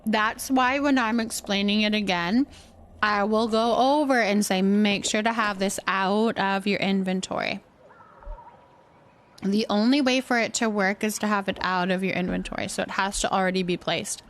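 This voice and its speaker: A woman's voice: medium pitch, steady tone, moderate pace. A woman hosting a game livestream, chatting casually with viewers and helpfully explaining steps as she plays. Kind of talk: casual livestream chat while playing.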